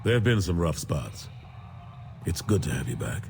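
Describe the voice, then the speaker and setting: Older military counselor, low voice, very varied intonation, earnest, blunt, game cutscene, faint noise. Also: male voice, very animated, moderate pace, creaky, darkish tone, exaggerated voice. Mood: cordial. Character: gruff, loyal older male military statesman. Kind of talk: video game cutscene acting.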